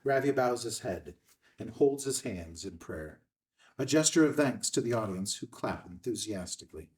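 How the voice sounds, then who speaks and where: A man's voice: lowish voice, lively intonation, moderate pace, a little mellow. A man reading stage directions aloud as the narrator of a screenplay table read. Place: indoor room at a filmed table read. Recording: slightly distant room microphone.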